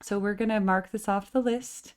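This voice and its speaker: A woman's voice: medium pitch, steady tone, moderate pace, clear, resonant tone. A woman in her forties with a full, operatically trained voice, chatting casually as a reaction channel host and filling her talk with "like". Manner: chatty, constant filler "like".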